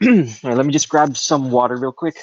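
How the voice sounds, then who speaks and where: A man's voice: mid-range voice, a little fast, no room sound. A man chatting casually in a group voice call on his phone, rambling a little, apologizing and repeating himself. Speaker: reader in a group fan fiction readalong. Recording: dull, lo-fi phone mic.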